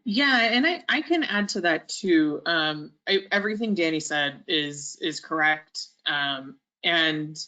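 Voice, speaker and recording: A woman's voice: low voice, animated, a little slow, hardly any pauses, sharp tone. A woman reading out audience questions hesitantly, with many fillers, over a video call. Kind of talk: webinar moderation.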